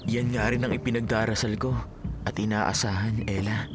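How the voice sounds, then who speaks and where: A man's voice: on the low side, fairly even intonation, moderate pace, noisy background, close to an everyday voice. A man playing a devoted, worried young son in a Filipino radio drama, with an earnest, dramatic delivery. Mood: earnest, troubled.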